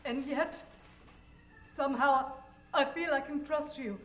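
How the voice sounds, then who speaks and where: A woman's voice: high voice, slow pace. A woman playing a meek, hesitant, stammering damsel in distress in an improvised old-time radio parody. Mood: shy, nervous. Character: timid, self-effacing young damsel in distress. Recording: roomy live stage sound.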